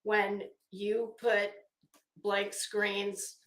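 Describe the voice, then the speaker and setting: A woman hosts a casual live chat from a room with plenty of echo. Her talk is gossipy and informal, full of coy asides and chuckles as she addresses her viewers. Her pitch sits in an average range for a woman. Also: completely flat delivery, extremely slow, a bit bright. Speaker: livestream host. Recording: roomy, echoey room sound.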